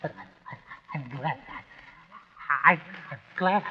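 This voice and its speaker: An actor playing a bitter, tormented killer, speaking in halting, repeated, anguished phrases in an old-time radio drama. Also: male voice, fairly high pitch, close to an everyday voice. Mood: feverish confession. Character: bitter, tormented man confessing, a brooding killer. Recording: muffled, hissy old radio recording.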